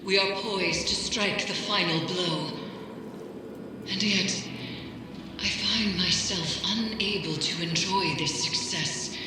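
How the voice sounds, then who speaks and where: An actress playing a grave, suspicious occultist in a video game briefing, speaking in a portentous, formal, deliberate way. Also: female voice, fairly low pitch. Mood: brooding, suspicious. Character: brooding, mystical woman occultist, grave and suspicious. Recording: very echoey long reverb, noisy ambience.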